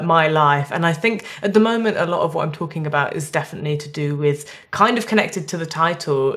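A woman's voice: sits low, fairly bright tone. A woman in her twenties, a comedian chatting casually in an interview with a wry, self-deprecating, rambling manner. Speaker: stand-up comedian being interviewed. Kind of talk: casual chat.

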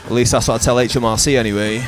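A man from the UK performs stand-up in a medium-pitched voice. Guitar music plays clearly behind him. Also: steady tone, rapid-fire. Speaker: stand-up comedian. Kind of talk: stand-up routine. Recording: guitar music over speech.

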